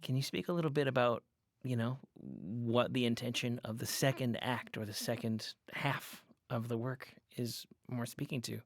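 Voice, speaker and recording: A man's voice: medium pitch, normal speed, no room sound, studio quality. A man hosting an interview podcast, speaking in an articulate, considered way. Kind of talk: interview hosting with scripted sign-off.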